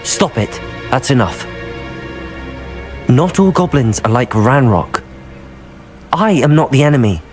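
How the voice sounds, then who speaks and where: A young man playing a cautious, level-headed teenage wizard student in a video game, earnest and measured. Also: mid-range voice, animated, a little mellow, soft background music, natural voice. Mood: firm, pleading.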